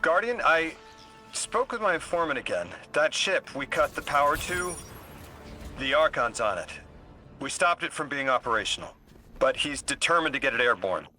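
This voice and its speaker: A man's voice: fairly high pitch, expressive, moderate pace, pushed and bright, soft background music. An actor playing a cocky, driven young male intelligence operative in a video game, urgent and confident. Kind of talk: video game dialogue acting.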